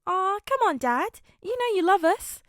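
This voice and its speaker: A woman's voice: very high voice, very animated, normal speed, fairly bright tone, dry recording, studio quality, voice pushed up, heavily acted. A woman voicing a sweet, cheeky little daughter with a pleading, affectionate manner in a story reading. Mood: sweet, teasing.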